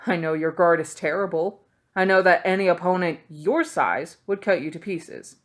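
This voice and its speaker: A woman's voice: on the low side, moderate pace, lightly acted. Woman as a cocky, sardonic young thief. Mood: dry, mocking. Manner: dry, needling. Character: cocky, sardonic young male thief, defiant and quick-witted.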